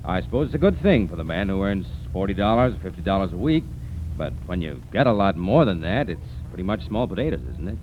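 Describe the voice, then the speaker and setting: An actor playing a well-paid, agreeable American business executive in a 1940s radio commercial. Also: male voice, low voice, very animated, quick pace. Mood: skeptical. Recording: dull, noisy 1940s radio recording.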